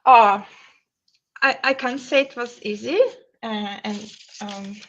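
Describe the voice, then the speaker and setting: Female interview guest, Russian accent, slow halting delivery, casual, medium pitch, muffled lo-fi sound. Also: fairly bright tone, a little hiss or hum.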